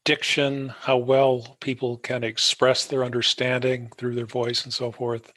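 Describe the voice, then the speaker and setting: A man with a mid-pitched voice hosts a podcast interview. He speaks conversationally, summing up what he has heard and posing questions, in a dry recording with no room sound. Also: little pitch movement, unhurried, fairly bright tone, no room sound.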